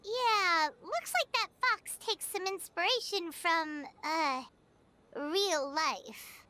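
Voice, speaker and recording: A woman voicing a small, cheerful, curious childlike fairy companion in a video game, with a squeaky, bubbly voice. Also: slow, pushed and bright, a little hiss or hum, heavily acted. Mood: wry. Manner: bubbly, exclamatory. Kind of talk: video game character acting.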